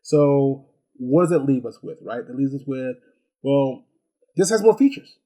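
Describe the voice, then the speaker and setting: A man's voice: medium pitch, expressive, unhurried, dark tone. Man, casual product reviewer, unscripted, rambling, repeats words, chatty rhetorical check-ins. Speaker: gadget review host.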